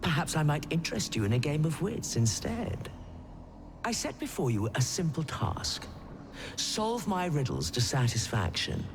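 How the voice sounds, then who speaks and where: An actor playing a haughty, pompous riddle-setting creature in a video game, with archaic, theatrical phrasing over music. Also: male voice, a little high, sing-song, normal speed, noisy background. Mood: haughty, taunting. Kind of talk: scripted video game acting. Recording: music behind the voice.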